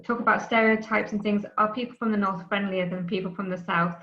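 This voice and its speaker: Young Yorkshire woman, interviewer, mid pitch, dull video-call audio. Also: fairly even intonation, a little fast, echoey room. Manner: brief affirming replies. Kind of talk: interview questions. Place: room with brick wall, on a video call.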